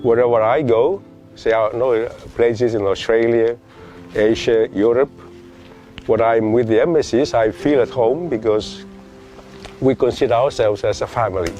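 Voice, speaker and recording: Casting: male priest, medium pitch, halting testimonial delivery over background music. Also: mellow. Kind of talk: personal testimony. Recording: music bed behind the voice.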